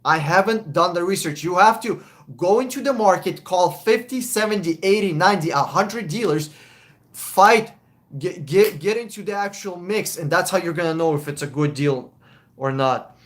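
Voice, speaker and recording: Young man, slightly high voice; casual, blunt live-stream car advisor. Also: animated, normal speed. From Russia. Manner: casual, blunt, swears, chuckles often. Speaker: car buying advisor, livestream host.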